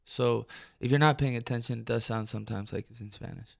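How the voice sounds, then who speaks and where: A man from Detroit, a musician guest on live radio, talking in a reflective, halting way with many restarts. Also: mid-range voice, steady tone, creaky. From Detroit, United States. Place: radio studio.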